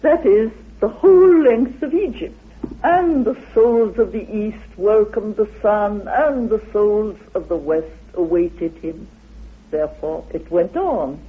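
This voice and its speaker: A woman speaks slowly and deliberately, lecturing as an interviewed researcher. Her husky voice swings widely in pitch for dramatic emphasis. The old radio recording is narrow and phone-like. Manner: deliberate, dramatic, with rhetorical questions. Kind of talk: spoken lecture-like explanation.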